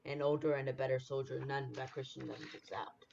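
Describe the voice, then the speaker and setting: A young actor plays a noble exiled prince in a Shakespeare radio drama. The delivery is earnest, stately and measured, at a medium pitch. The sound is dull and lo-fi. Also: little pitch movement, gravelly, a little mellow, slight background noise, lightly acted. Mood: hopeful, stately. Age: young adult. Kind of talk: 1940s radio play Shakespeare acting. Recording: dull lo-fi audio.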